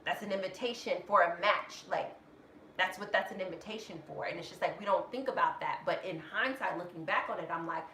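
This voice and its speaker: A woman's voice: on the low side, brisk, sharp tone. Emphatic woman vlogger in an echoey room. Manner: emphatic, repeats words, many "like" fillers. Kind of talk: motivational vlog talk. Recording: echoey room with faint noise.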